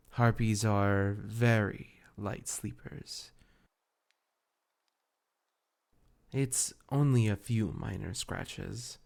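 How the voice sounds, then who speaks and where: A man playing a devoted, ancient fey familiar spirit in an intimate roleplay audio, speaking formally and tenderly with measured, archaic diction. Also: on the low side, vocal fry, a little mellow. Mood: calm, dutiful.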